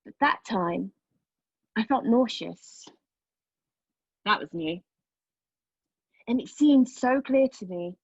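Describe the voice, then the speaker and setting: A haunted young woman played by an actress delivers an intimate theatrical monologue in a husky voice. Her delivery is halting and intense, moving between hushed confession and sharper emphasis. The sound is close and dry, though a little dull and lo-fi. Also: on the high side, expressive, dry recording, exaggerated voice. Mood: reflective, uneasy. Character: haunted young woman recounting a painful memory. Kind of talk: dramatic monologue read at a table read of a play.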